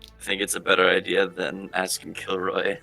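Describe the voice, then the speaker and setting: A man's voice: sits low, completely flat delivery, normal speed, creaky, sharp tone, faint music behind, rough recording. A man chatting casually as a player in a tabletop game, wry and questioning. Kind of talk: casual chat.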